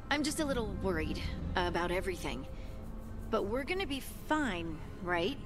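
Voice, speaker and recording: Anxious, sweet, slow-spoken woman. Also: mid-range voice, sing-song. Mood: nervous, hopeful. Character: sweet, anxious woman companion putting on brave face. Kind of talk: video game dialogue acting. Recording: game music behind the voice.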